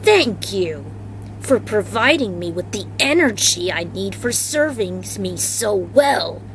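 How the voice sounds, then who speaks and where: A woman voicing a malevolent, gloating male dark god with a grandiose, deliberate delivery. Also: mid-range voice, lively intonation, slight background noise, rough recording.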